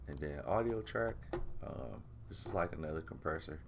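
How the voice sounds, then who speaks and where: A man with a deep voice talking through a vocal mix in a laid-back, rambling way, full of fillers, from his home studio. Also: expressive, moderate pace, gravelly, noisy background. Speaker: music producer teaching vocal mixing. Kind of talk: casual screen-recorded tutorial.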